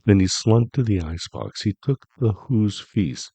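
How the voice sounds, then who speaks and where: A man narrating a rhyming children's story in a theatrical, measured way, relishing each rhyme. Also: fairly low pitch, animated, dark tone, breathy edge, no room sound.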